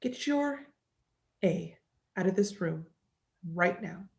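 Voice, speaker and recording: A woman's voice: medium pitch, lively intonation, takes it slowly, gravelly. A woman playing a stern, protective staff member in a dramatic script reading. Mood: stern anger.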